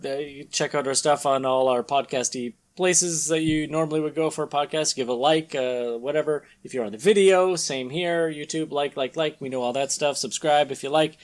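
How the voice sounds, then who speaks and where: High-voiced man in casual joking chat. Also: moderate pace, few pauses. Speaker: podcast co-host. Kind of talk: casual joking commentary on a comic reading.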